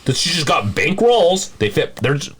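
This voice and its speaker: A man's voice: fairly high pitch, sing-song, moderate pace, a loud crowd. A man chatting casually as a podcast co-host, rambling and self-deprecating.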